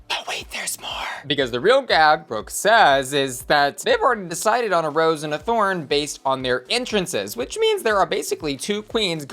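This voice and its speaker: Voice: medium pitch, fairly bright tone. A video host delivering scripted review commentary with campy, theatrical emphasis.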